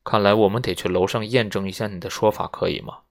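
A man voicing an old judge who speaks calmly, measured and in command, in an audiobook. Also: fairly low pitch, natural voice. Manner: calm, measured, authoritative. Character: old male judge, icy calm and in command.

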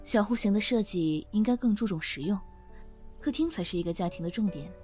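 A woman playing a kind, calm office manager in a modern audio drama, gently teasing and soothing. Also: mid-range voice, a little fast, soft background music, lightly acted. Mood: warm, teasing.

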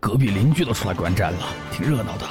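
An eager young orc husband voiced by a man talks in a mid-pitched, very animated voice, placating and commenting as things unfold. Music sits clearly behind the audio drama. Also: fast talker, natural voice. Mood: amazed.

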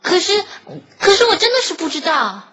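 A woman's voice: extremely high pitch, very animated, normal speed, heavily acted. A woman playing a sweet teenage schoolgirl in an audio drama. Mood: apologetic. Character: teenage schoolgirl Xiaomei, sweet best friend. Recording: dull lo-fi sound with loud music.

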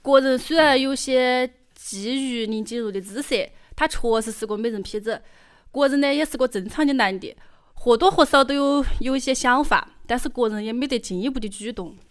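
A woman's voice: on the high side, fairly quick, clear, resonant tone. A woman with a Chongqing accent giving scripted narration for an audio drama.

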